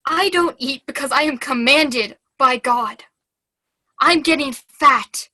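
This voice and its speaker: A young woman acting a fragile, devout and troubled young nun in a halting, childlike stage monologue. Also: high voice, pushed and bright, no room sound, studio quality, exaggerated voice. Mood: anguished. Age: teens. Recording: video call.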